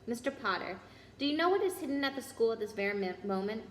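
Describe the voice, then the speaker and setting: A woman's voice: fairly high pitch, animated, fast, close to an everyday voice. A woman voicing a noble, grave male centaur, solemn and formal, in a storybook reading. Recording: roomy echo with noise.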